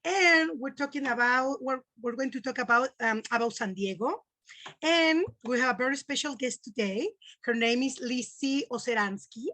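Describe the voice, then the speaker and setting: A woman's voice: sits high, normal speed, bright, forceful tone, no echo. Woman podcast host, dry sound. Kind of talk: interview hosting.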